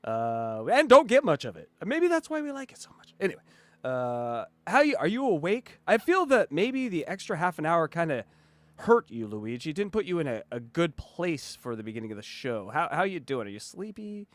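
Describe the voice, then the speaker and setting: A male podcast host with a high, lively voice, chatting casually and stumbling over fillers with frequent giggles. The recording is dry and close, with no room sound. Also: dry recording. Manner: halting, filler-heavy, giggly. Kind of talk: casual comedic podcast chat.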